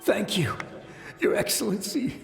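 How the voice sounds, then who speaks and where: A man voicing a dazed, devout samurai soldier in a video game, speaking in a halting, reverent way with ghostly reverb. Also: sits high, very animated, vocal fry, full character voice. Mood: reverent, grateful. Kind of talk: scripted game dialogue. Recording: echoey long reverb, music behind.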